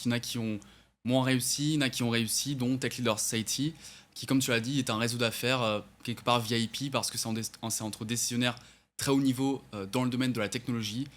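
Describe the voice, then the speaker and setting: A man's voice: mid-range voice, fairly even intonation, fast, fairly bright tone. A man in his twenties, raised in the United States and then France, answering interview questions as an entrepreneur, hesitant and full of fillers. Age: 20s. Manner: hesitant, many fillers and restarts, self-corrects. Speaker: entrepreneur guest.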